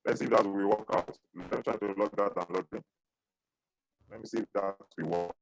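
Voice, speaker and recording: Male lecturer, 30s, online class, halting and repetitive, dry close sound. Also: lowish voice, fairly even intonation, vocal fry, no room sound, rough recording. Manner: repetitive, halting, asks for questions. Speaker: accounting lecturer. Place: small indoor room. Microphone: webcam video call with earphones.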